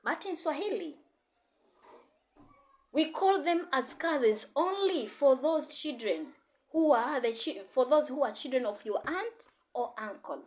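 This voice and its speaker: Lively woman teaching. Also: high-pitched, a little slow. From Tanzania. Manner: repetitive, encouraging, code-switching teacher. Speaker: Swahili and English teacher. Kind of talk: online language lesson.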